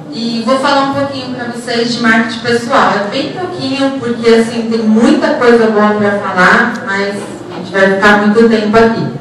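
A woman's voice: mid-range voice, a bit bright. Heard through heavy room echo and some noise, a woman talks to an auditorium. She is in her thirties and lectures informally on a handheld mic. She keeps it conversational, often tossing short check-in questions to the listeners. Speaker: psychologist and HR professional giving a lecture. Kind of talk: informal motivational lecture to students. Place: auditorium, speaking to an audience. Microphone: handheld microphone through room speakers, distant recording. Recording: echoey hall from far off, noisy.

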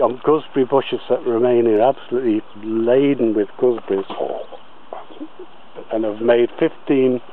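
A man giving a slow, halting outdoor tour of his allotment plot, with many pauses and fillers. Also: medium pitch, phone-quality sound, slight background noise. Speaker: allotment gardener.

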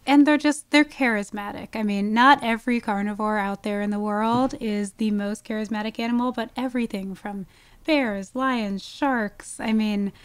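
A woman's voice: mid-range voice, steady tone, moderate pace, clear, resonant tone, no room sound. A woman speaking informally as an expert guest in a casual interview. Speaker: podcast guest, wildlife ecologist.

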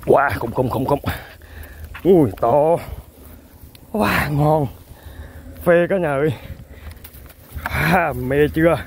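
A young man excitedly commenting to viewers while fishing outdoors, exclaiming often. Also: on the high side, takes it slowly, pauses often, slight background noise. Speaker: fishing vlogger. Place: outdoors in fields.

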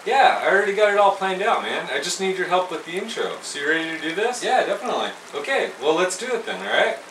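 A man's voice: high-pitched, very animated, quick pace, a bit bright, echoey room. A man in his thirties in casual skit banter, recorded outdoors at a distance with water noise behind him. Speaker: show host in an intro skit.

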